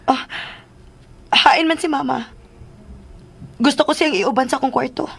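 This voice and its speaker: An actress playing a worried, respectful young daughter in a radio drama, earnest and plaintive. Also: female voice, high voice, measured pace, creaky, fairly bright tone, husky, heavily acted.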